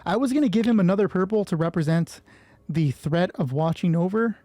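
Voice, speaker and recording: A game master running a solo tabletop roleplay, thinking aloud and narrating in a sweary, excitable way. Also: medium pitch, brisk, no room sound.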